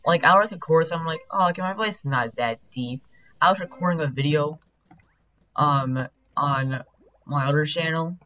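A young man chatting casually while speedrunning a game, rambling with lots of fillers and quick asides, his voice sounding deep. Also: pushed and bright, slight background noise.

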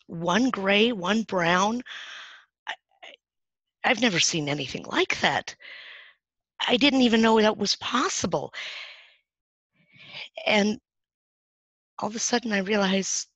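A woman acting as a frightened young girl in a first-person dramatic monologue, telling it in a halting, hesitant way with many restarts. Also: mid-range voice, expressive, fairly bright tone, dry recording. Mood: awed. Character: frightened young girl hiding in her bed. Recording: dull, dry lo-fi recording.

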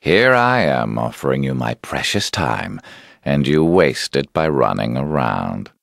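A condescending male video game villain, with an extremely low voice. It is gravelly and lively in pitch. He sounds smug and mocking. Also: unhurried, dry recording, studio quality, heavily acted. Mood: smug mockery.

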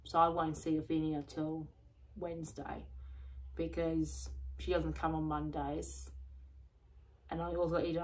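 A woman talking in a rambling personal vlog, repeating herself often, with a voice going croaky. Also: low-pitched, rough recording. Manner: repetitive, loose, restarts and repeats herself often. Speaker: vlogger.

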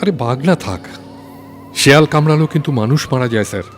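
A man acting an anxious, eager subordinate in an audio story, respectful and questioning, quick to get excited. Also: medium pitch, soft background music, close to an everyday voice. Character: Nanda, deferential, anxious, eager male subordinate.

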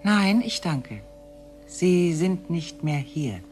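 An actress playing a calm, fearless female alien, composed and terse, in a sci-fi radio play. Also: fairly low pitch, extremely slow, faint music behind, exaggerated voice. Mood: unruffled.

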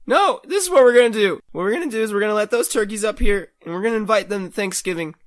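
A man playing a manic, bossy comic schemer in an insistent, rapid-fire way. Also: high-pitched, expressive, fairly bright tone, voice pushed up. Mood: manic, threatening.

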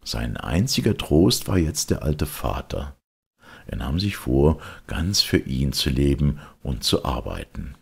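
Guy reading an audiobook story, husky creaky voice, scripted narration. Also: a bass voice, very animated, a little slow, a little mellow. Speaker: audiobook reader.

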